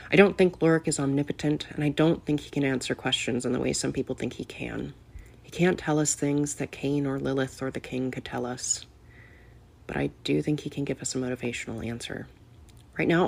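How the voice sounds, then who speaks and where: Man with creaky voice, earnest prepared statement. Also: mid-range voice. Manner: eloquent, literary phrasing. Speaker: listener sending a voice message.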